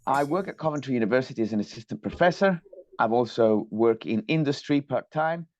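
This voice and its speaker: A man presents a technical lecture over a video call. His mid-range voice is measured and courteous, with small academic hesitations. The audio is dry and slightly dull, like a conferencing line. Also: mid-range voice, animated, darkish tone, no room sound. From Colombia. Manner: measured, polite, academic, with fillers. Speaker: guest lecturer, structural engineer.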